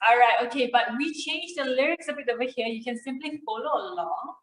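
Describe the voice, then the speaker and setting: A woman's voice: high voice, a little fast, fairly bright tone. A woman hosting a children's puppet show, encouraging and explaining activities step by step. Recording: roomy, echoey sound.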